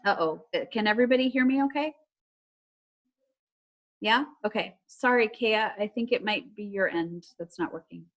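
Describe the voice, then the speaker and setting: A woman reading a children's story aloud like a teacher to a class, with animated dialogue and calmer narration, over a video call. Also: medium pitch, moderate pace, lo-fi sound.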